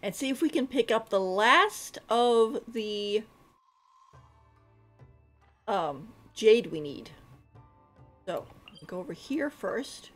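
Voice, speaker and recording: A woman's voice: fairly high pitch, expressive, slow, long pauses, a bit bright. A woman giving casual live commentary as she plays a game, giggly and thinking aloud. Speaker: game streamer. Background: game music.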